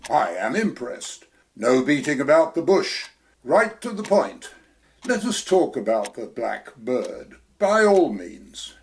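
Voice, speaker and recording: An actor playing a smooth, dangerous fat villain who drawls and chuckles pompously in an amateur audio play. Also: male voice, mid-range voice, sing-song, slow pace. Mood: smooth menace.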